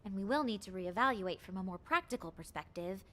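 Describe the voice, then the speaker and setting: A woman's voice: medium pitch, expressive, fairly quick, fairly bright tone, natural voice. A woman playing a stammering, nervous officer. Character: anxious female executive officer.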